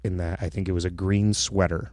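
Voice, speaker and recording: A man's voice: low-pitched, flat delivery, fairly quick, a little mellow, no room sound, clean studio sound. A man co-hosting a casual podcast chat, rambling and often restarting his sentences. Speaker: podcast co-host.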